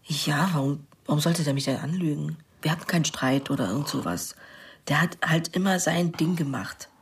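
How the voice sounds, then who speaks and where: An actress playing a middle-aged sister in a naturalistic radio crime drama, giving a plain, hesitant, colloquial account. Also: female voice, sits low, normal speed. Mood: slightly defensive. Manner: hesitant, matter-of-fact, colloquial.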